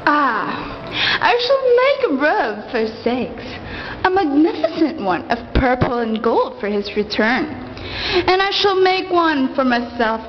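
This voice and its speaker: A woman's voice: high voice, very animated, slow, muffled recording, exaggerated voice. A woman playing a devoted, anguished young queen in a radio play, with imploring, melodramatic delivery and formal old-fashioned diction. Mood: wistful. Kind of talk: amateur radio play acting of Greek myth. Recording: reverberant hall sound under music.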